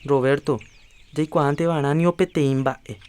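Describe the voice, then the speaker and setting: A man's voice: fairly high pitch, fairly even intonation, normal speed, a little mellow, a little hiss or hum, lightly acted. A man playing an earnest health promoter. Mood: rousing. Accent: Paraguayan. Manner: earnest, persuasive, lecturing. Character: earnest adult man urging health checkups. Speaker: Rafael, a community health promoter. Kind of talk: educational radio drama acting.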